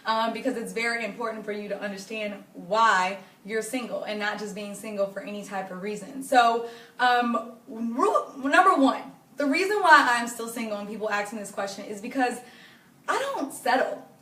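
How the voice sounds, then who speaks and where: Raspy hoarse young woman talking faith and dating on a live stream, kinda far from the mic, echoey room. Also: a little high, normal speed, fairly bright tone. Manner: chatty, earnest, addresses viewers directly. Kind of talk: casual faith-based advice talk to viewers. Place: home living room.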